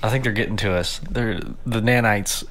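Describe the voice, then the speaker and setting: A man's voice: fairly low pitch, normal speed, a bit bright. A man co-hosting a podcast in casual chat, giggling, swearing casually and swinging his tone up and down. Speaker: podcast co-host.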